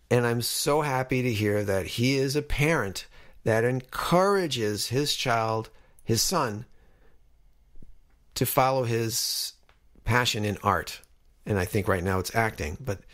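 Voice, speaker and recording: A man's voice: mid-range voice, a bit bright. A middle-aged man hosting a podcast, talking in a casual, reflective way. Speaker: podcast host.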